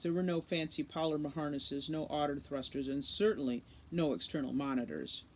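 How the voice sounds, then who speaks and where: A woman reading a children's fantasy story aloud in an expressive storytelling style. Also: sits low, low-quality recording. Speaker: audiobook reader, teacher.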